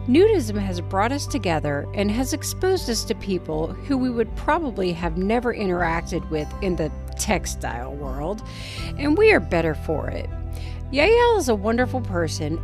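A woman co-hosting a podcast, reading an earnest scripted intro and then chatting casually with a guest. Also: mid-range voice. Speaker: podcast co-host.

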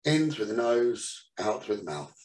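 A man leading a guided meditation as the teacher, giving soothing instructions in short phrases with pauses between them. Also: lowish voice, big pitch swings, a little mellow. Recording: roomy echo, distant from the mic.

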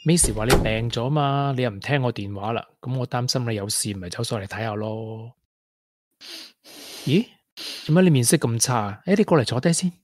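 A man's voice: medium pitch, animated, a little fast, natural voice. An actor with a Hong Kong Cantonese accent playing a caring, playful young man in a radio drama, with a coaxing, colloquial manner. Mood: worried, soothing. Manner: coaxing, colloquial, with English interjections. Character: caring, playful, persistent young man wooing a grieving colleague.

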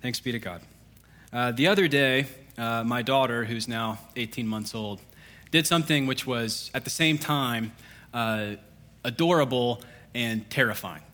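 A male pastor with a bright, forceful voice delivers a sermon. He reads scripture and then drifts into chatty asides and self-deprecating jokes, full of fillers, at a middle pitch. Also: measured pace. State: congested.

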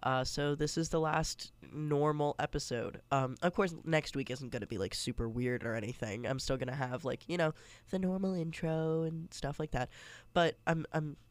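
Congested young man, smooth dry voice. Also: a little high, fairly even intonation, moderate pace, dry recording. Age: young adult. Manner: chatty, rambling, many fillers and restarts. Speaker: podcast host. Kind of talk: casual solo podcast.

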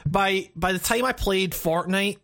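A man's voice: high voice, measured pace, vocal fry, a bit bright. A man chatting casually about video games, stammering through restarts and often agreeing. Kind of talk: casual gaming chat.

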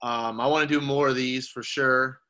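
A man's voice: mid-range voice, steady tone, moderate pace, sharp tone. A man hosting a casual roundtable chat, loose and improvised, trailing off as he wraps things up. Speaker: host.

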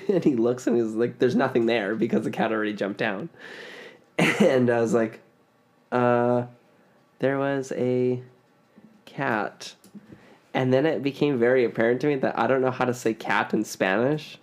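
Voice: mid-range voice, unhurried. A podcast host from Ontario with a Canadian accent, chatting casually and telling stories with playful emphasis on words. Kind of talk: casual chat.

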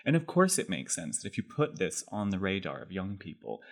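A man in his thirties from Texas, speaking in a measured, articulate way as a podcast guest. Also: sits low, wildly swooping pitch, creaky. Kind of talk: reflective conversation.

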